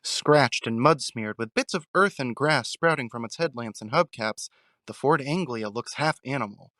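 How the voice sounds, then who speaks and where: A man's voice: mid-range voice, lively intonation, normal speed, sharp tone, dry recording. A man reading scripted narration for a fan audio drama, recorded close on the mic. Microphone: close mic, dry recording.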